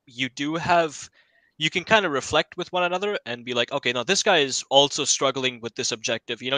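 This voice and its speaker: A man's voice: medium pitch, bright, forceful tone, no echo, low-quality recording. A young man giving casual, reflective answers as a panel guest, thinking aloud and often checking with tag questions. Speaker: self-learner guest on an education panel.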